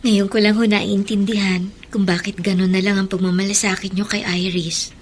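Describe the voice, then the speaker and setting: A woman playing a kind, respectful young daughter in a Tagalog radio drama, tender and quietly hurt. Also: medium pitch, flat delivery, normal speed, a little hiss or hum, lightly acted. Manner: polite, tender.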